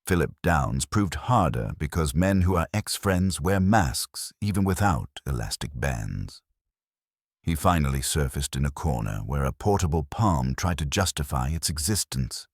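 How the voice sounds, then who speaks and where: Very deep-voiced man narrating detective fiction. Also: very animated, a little slow, no room sound, studio quality. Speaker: audiobook narrator. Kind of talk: scripted first-person detective fiction narration.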